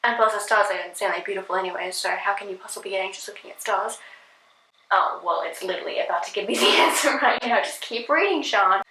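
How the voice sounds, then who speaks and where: A woman hosting a casual reaction video, chatting and giggling, often filling pauses with "like". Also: mid-range voice, bright, forceful tone, echoey room, slight background noise, low-quality recording. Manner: chatty, giggly, filler-heavy.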